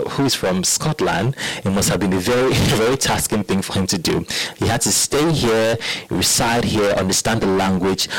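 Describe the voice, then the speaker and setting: Guy on morning radio, deep voice, dry booth sound, chatty and loose. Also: dry recording, poor audio. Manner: conversational, explains with examples and asides, repeats words. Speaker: radio host. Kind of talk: radio talk segment. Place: radio studio.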